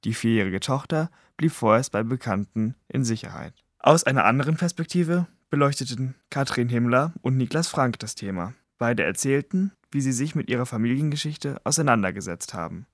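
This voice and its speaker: A man's voice: medium pitch, animated, fairly quick, few pauses, dry recording. Young man reading a prepared text, careful, dry sound. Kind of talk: read report.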